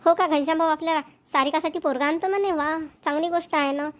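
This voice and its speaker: A woman voicing a proud, sharp-tongued rural wife in a cartoon, bickering and boastful. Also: high-pitched, steady tone, fast, lightly acted. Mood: teasing. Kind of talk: cartoon voice acting.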